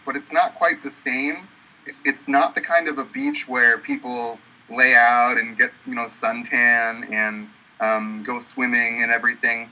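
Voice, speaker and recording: A man's voice: medium pitch, pushed and bright, slightly rough, poor audio. A man representing a university, talking eagerly with many fillers over a video call from an indoor office. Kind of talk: enthusiastic promotional talk. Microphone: webcam on video call.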